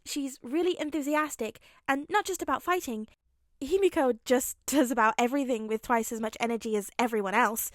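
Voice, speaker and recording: A woman's voice: sits high, dry recording, studio quality, voice pushed up. A woman voicing an earnest, eager teenage boy with a hesitant, rambling manner in a story reading.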